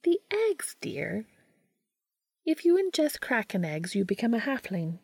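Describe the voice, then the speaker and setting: A woman's voice: mid-range voice, sing-song, measured pace, vocal fry, a little mellow, husky, dry recording, studio quality, voice pushed up, full character voice. A woman voicing a haughty, exasperated centuries-old witch with an arch, scolding manner in a story reading.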